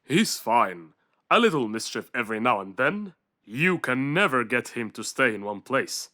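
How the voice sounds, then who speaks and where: A man's voice: medium pitch, very animated, normal speed, bright, forceful tone. A man playing a genial, courteous lion king in a children's cartoon, regal and friendly. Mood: genial, welcoming. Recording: music behind the voice.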